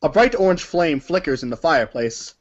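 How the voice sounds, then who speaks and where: A man with a fairly high voice narrates a story aloud. His delivery is dramatic and emphatic, like staged read-along theater. The recording sounds slightly dull and lo-fi. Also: big pitch swings, brisk. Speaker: narrator of a group reading.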